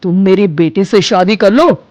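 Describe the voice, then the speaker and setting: A woman voicing a proud, doting middle-aged village landlord in an animated story, speaking in a firm, commanding way. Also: mid-range voice, unhurried, no room sound, close to an everyday voice.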